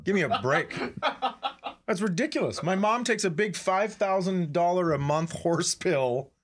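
An American man hosts a comedy podcast in loose, casual banter. His voice sits slightly high for a man, and he teases and swears freely as he jokes along. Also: lively intonation, measured pace. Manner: joking, profane, teasing.

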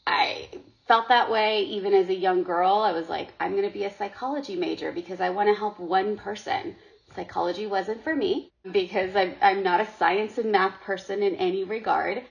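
A woman's voice: medium pitch, vocal fry. A middle-aged woman from Sri Lanka, talking earnestly as a podcast guest and often repeating words as she goes. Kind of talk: casual interview talk. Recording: dull lo-fi audio.

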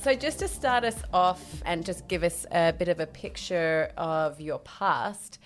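A woman's voice: on the low side, unhurried, soft background music. A woman hosting a podcast interview in an upbeat, encouraging way. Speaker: podcast host.

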